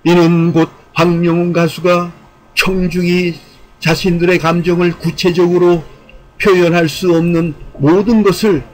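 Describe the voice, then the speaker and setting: A man with a somewhat high voice reads a prepared commentary. His delivery is formal and earnest, like an essay read aloud, with an exhorting manner and the occasional repeated phrase. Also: low-quality recording. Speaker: online channel host and commentator.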